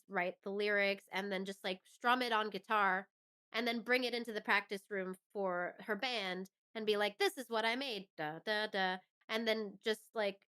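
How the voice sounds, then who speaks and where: A woman with a bright, cleanly resonant voice talks as a guest in a relaxed interview. She sounds thoughtful and self-reflective as she explains herself in a conversational way. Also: medium pitch, clear, resonant tone. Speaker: interview guest, musician and actor.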